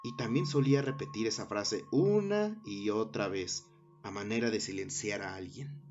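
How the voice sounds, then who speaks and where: A man narrating a scary story in a measured way, with dramatic pauses. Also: lowish voice, lively intonation, a little mellow. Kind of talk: scripted horror story narration. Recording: dull lo-fi recording with music underneath.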